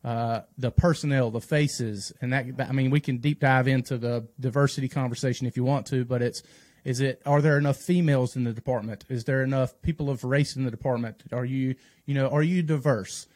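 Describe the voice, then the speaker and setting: Earnest firefighter, dry close sound. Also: male voice, medium pitch, steady tone, a little fast, darkish tone, dry recording. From North Carolina, United States. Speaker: firefighter and instructor, podcast guest. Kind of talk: conversational podcast interview.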